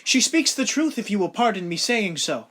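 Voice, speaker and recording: A man's voice: high-pitched, big pitch swings, moderate pace, fairly bright tone, heavily acted. A man playing a courteous, loyal lieutenant in an audio drama, with polite, formal period speech. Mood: sympathetic.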